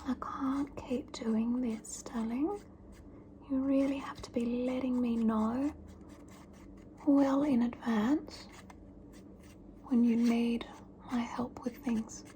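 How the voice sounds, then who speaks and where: A woman doing a whispered ASMR roleplay as a fussy, pampering mother who calls her child darling and scolds her gently. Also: fairly high pitch, fairly even intonation, a little hiss or hum. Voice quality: soft whisper. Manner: doting yet scolding, lingering and drawn-out.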